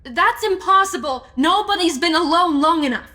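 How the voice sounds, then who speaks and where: Woman playing a high-voiced, sharp, practical sleuth. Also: lively intonation, full character voice. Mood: focused, urgent. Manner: direct, brisk, dry. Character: sharp, practical, take-charge female amateur sleuth. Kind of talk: audio drama acting.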